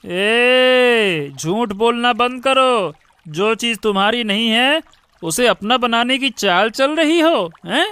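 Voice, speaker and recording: An arrogant village bully from an animated moral tale, acted by a man. He taunts and accuses in a very high, clear voice, taking his words slowly, recorded dry and close. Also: no echo, heavily acted. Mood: arrogant, threatening. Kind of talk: Hindi animated moral story dialogue.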